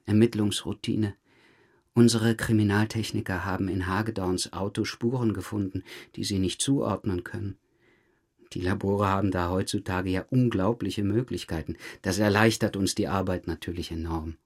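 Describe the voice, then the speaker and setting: An actor playing a patient, probing police detective in a crime drama, controlled and deliberate in his questioning. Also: deep voice, fairly even intonation. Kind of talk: German crime radio drama dialogue.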